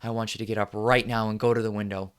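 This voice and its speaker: Guy playing a mad-as-hell tv newsman on a film set, lowish forceful voice, keeps hammering the same lines. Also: level tone, moderate pace, clean tone, natural voice. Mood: impassioned. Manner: impassioned, repetitive, builds to yelling. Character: furious middle-aged male TV newsman ranting on air. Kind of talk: film monologue.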